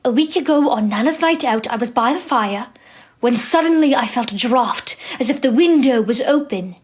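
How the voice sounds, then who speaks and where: A woman acting a gentle, anxious Edwardian wife and mother in an audio drama, soothing and expressive. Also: a little high, normal speed, lightly acted. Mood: anxious, hushed.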